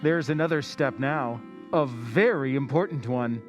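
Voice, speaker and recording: A man's voice: medium pitch, unhurried, natural voice. An actor playing a sinister, all-knowing male narrator in a 1940s-style radio drama, speaking insinuatingly and straight to the listener. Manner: insinuating, addresses listener directly. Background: music.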